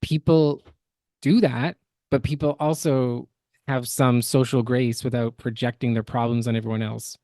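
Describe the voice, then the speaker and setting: A man's voice: medium pitch, steady tone, moderate pace, mellow, no echo. A man hosting a podcast with casual, critical and opinionated reaction commentary. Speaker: podcast host.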